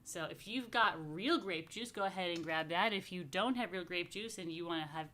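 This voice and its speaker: A woman's voice: lowish voice, normal speed, bright, forceful tone. Casual, playful woman rabbi chatting to families. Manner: playful, informal asides; addresses viewers directly with questions and invitations. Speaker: rabbi hosting family story time.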